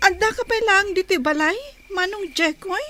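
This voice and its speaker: A woman plays a dutiful young daughter with an extremely high, girlish voice. She speaks with an Ilocano accent in the earnest style of a village radio drama. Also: normal speed, sharp tone, faint music behind. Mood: questioning.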